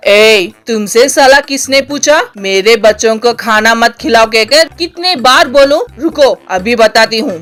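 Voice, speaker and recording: A woman's voice: fairly high pitch, unhurried, hardly any pauses, a bit bright, soft background music. A woman playing an arrogant, short-tempered rich mother who scolds haughtily in a Hindi moral-story cartoon. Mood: angry.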